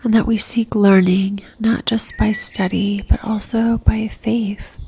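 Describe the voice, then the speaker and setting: A woman leading a guided religious meditation in a soft, near-whispered voice, gentle and calm, leaving long pauses. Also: mid-range voice, gravelly, slightly rough, low-quality recording.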